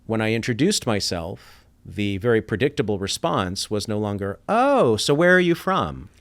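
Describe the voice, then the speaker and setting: A middle-aged man from Iran speaks as a podcast guest, with a fairly low voice. His delivery is thoughtful and reflective. He often slips into quoted voices as he tells his story. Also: sing-song, moderate pace. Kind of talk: reflective interview talk.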